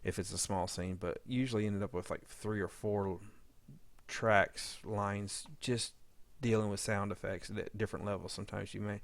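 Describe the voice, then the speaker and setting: Gravelly low-voiced man talking through edits. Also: fairly even intonation, moderate pace, no echo. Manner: informal, thinking aloud while working. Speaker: audio drama editor demonstrating editing. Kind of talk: casual screen-recorded editing tutorial.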